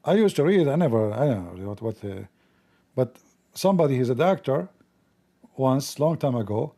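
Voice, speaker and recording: Male host, gravelly, mellow and dark-toned, halting non-native English, mocking commentary. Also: mid-range voice, expressive. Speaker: channel host. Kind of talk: casual commentary, quizzing an AI assistant.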